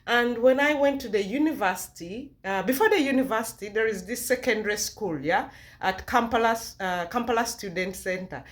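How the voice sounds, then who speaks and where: A woman's voice: fairly high pitch, normal speed. A woman vlogger from Uganda with a Ugandan accent, sharing a personal testimony with viewers in an emphatic way, repeating phrases to encourage.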